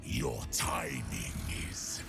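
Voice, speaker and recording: Ancient beast god for a game, super slow gravelly growl, sneering and menacing, loud music under it. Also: male voice. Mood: sly, condescending. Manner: slow, grandiose, menacing delivery. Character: ancient male beast god, cunning and contemptuous. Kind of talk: theatrical video game character acting.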